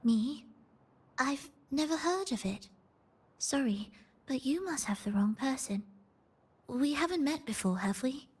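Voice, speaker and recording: Gentle, slow-spoken young violinist. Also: female voice, sits high, lively intonation, husky, full character voice. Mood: puzzled. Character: gentle, earnest young female violinist setting out. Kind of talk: video game voice acting.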